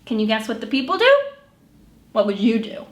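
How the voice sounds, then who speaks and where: A woman hosting a children's story time, reading and singing picture books in an animated way and acting out the sounds. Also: fairly high pitch, fairly quick, roomy sound. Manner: animated, acts out animal sounds and song refrains. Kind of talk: sung picture-book read-along for children.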